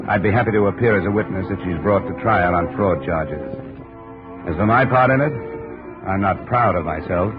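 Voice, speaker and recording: A man's voice: on the low side, close to an everyday voice. An actor playing a world-weary, hard-boiled insurance investigator, narrating his case dryly in a 1950s radio drama. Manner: dry, terse. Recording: dull old radio recording.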